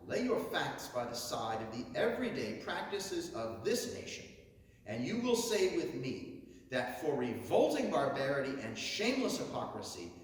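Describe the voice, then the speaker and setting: A man's voice: medium pitch, sing-song. Man declaiming in a very echoey hall. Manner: declamatory, emphatic, rhetorical, with dramatic pauses. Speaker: orator reciting a historic speech. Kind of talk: theatrical 19th-century abolitionist oration. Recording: very echoey hall, distant from mic.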